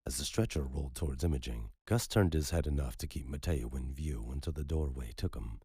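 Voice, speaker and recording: A man narrating a story in a measured, unhurried storytelling manner. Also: very deep voice, warm tone, breathy edge, no echo, clean studio sound. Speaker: audiobook narrator. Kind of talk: scripted narration.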